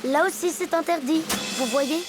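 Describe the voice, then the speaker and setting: A woman plays a small boy hippo from a children's cartoon, an earnest know-it-all. The voice is high, with a solemn, lecturing way of talking. Music plays clearly behind it. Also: normal speed, natural voice. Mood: firm, stubborn. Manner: pedantic, solemn. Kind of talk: French children's cartoon acting.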